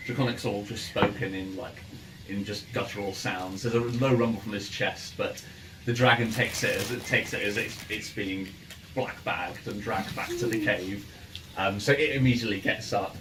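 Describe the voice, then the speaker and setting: A man with a lowish voice narrates a tabletop game as its game master. His delivery is hesitant and stop-start, as he improvises the scene. He sits away from the microphone, with clear noise around him. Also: fairly even intonation, moderate pace, reverberant. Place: in-person game room with ambient sound. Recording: noisy room, distant microphone.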